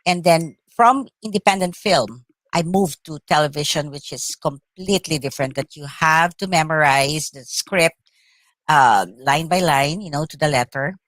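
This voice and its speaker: A middle-aged woman with a Filipino accent, whose first language is Tagalog, answering interview questions thoughtfully with many pauses and uhs. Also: low voice, a bit bright, no echo. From Philippines. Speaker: actress, interview guest.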